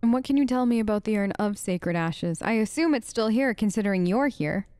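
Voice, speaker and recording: A woman's voice: mid-range voice, expressive, fairly quick, darkish tone, smooth, dry recording. A woman doing casual improvised role-play as a gamer, talking in a hesitant, conversational way close to the mic. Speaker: let's play gamer speaking as her character.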